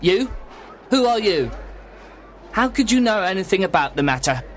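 A man's voice: fairly high pitch, expressive, moderate pace, a bit bright, a little hiss or hum, voice pushed up. A man voicing a frightened, suspicious little man with a jittery, questioning manner in a storybook reading. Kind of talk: acted audiobook dialogue.

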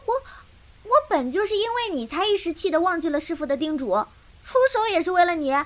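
Fierce, naive girl disciple for a martial-arts radio play, female actor: tiny, very high voice, blunt and proud. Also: expressive, brisk. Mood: defiant, sulky. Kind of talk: Chinese wuxia audio drama acting.

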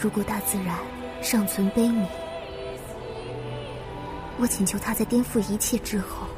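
An actress playing a pensive, gentle traveller, narrating her reflections in short measured phrases for a radio drama. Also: mid-range voice, steady tone, lightly acted. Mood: quiet, contemplative. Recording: clear music under the voice.

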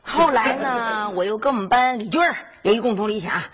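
A woman's voice: fairly high pitch, a little fast, fairly bright tone, close to an everyday voice. A woman playing a chatty, easily exasperated middle-aged mother in a family sitcom, speaking with a Beijing accent. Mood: fond reminiscing. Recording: faint background noise.

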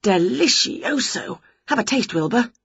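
A woman voicing an excitable, greedy, scatty woman from a children's storybook, gushing and exclaiming. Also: medium pitch, measured pace, vocal fry, dull audio, a little hiss or hum, pitched above the performer's own voice. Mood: bossy, gleeful.

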